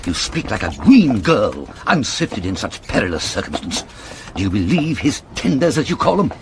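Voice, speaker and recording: A man's voice: fairly low pitch, wildly swooping pitch, normal speed, background noise. An actor with a British accent playing a pompous, fussy older father and counsellor, lecturing in classical Shakespearean style. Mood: probing, scornful. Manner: declamatory, sententious, lecturing.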